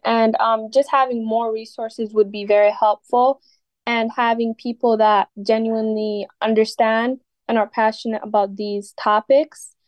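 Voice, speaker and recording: A teenage girl, a high school student from Ethiopia, answers interview questions in an earnest way, leaning on casual fillers. Her voice sits on the higher side and is recorded dry and close. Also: a little mellow, no echo. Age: teens. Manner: earnest, frequent fillers like "like" and "you know".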